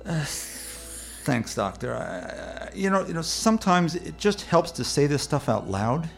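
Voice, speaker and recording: A man playing an anxious patient haunted by night terrors in a radio play, speaking in a halting, stammering, desperate way. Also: on the high side, very animated, creaky, soft background music, exaggerated voice. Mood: anxious, unsettled.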